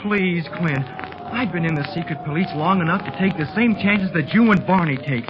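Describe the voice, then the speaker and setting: Male actor as curious, earnest boy hero, youthful high pitch, vintage radio drama on a hissy old recording. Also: moderate pace, close to an everyday voice. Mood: eager, pleading. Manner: earnest, gee-whiz enthusiasm. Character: eager, curious fifteen-year-old boy adventurer. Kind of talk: 1930s radio serial acting. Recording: noisy, muffled 1930s radio recording.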